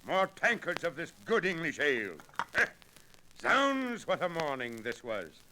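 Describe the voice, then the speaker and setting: Jolly lordly hunter, bright forceful highish voice, olde speech, noisy old radio. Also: male voice, very animated, a little slow, exaggerated voice. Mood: jovial, hearty. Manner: booming, theatrical, archaic speech. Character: hearty, commanding nobleman on a hunt, disguised as abbot. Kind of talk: 1940s radio adventure acting. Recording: noisy 1940s radio recording with clicks.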